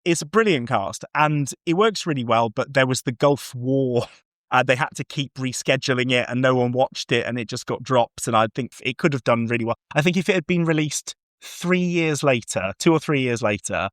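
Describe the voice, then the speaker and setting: A man's voice: mid-range voice, normal speed, a bit bright, dry recording, clean studio sound. A man talking casually and enthusiastically as a guest on a review podcast, effusive in his opinions.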